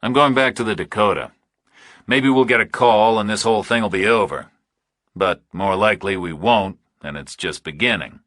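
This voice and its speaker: A man's voice: on the low side, moderate pace, a bit bright, dry recording. A man playing a tough ex-military investigator, clipped, dry and determined, in a thriller audiobook. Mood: grim.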